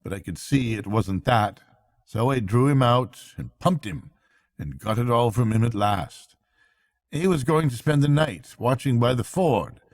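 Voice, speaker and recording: A man's voice: medium pitch, expressive, a little slow. A man voicing a worried, kindly water rat who speaks in an earnest, confiding way, as in a bedtime storybook. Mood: grave, anxious. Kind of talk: bedtime storybook character reading.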